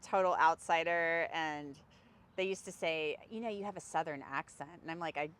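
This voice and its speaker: A woman reporter chatting as a guest, lively and laughing as she tells stories, in an outdoor tent studio. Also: sits low, moderate pace, clean tone. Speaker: legal reporter, interview guest. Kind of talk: casual chat.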